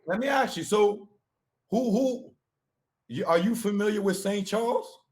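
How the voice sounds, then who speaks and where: A man hosting an interview, asking short, halting questions and chiming in with agreement. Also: high voice. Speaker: host.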